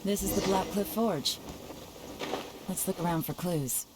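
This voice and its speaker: A woman voicing a shrewd, secretive female spy who speaks in a calm, measured, analytical way, in a game with music under her lines. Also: medium pitch, noisy background, natural voice. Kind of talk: game voice acting.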